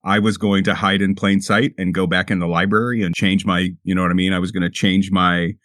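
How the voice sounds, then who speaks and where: A man playing a tabletop roleplaying game, chatting casually and often repeating his words as he thinks out loud. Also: sits low, flat delivery, brisk, dry recording, studio quality. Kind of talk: casual table chat and in-character play.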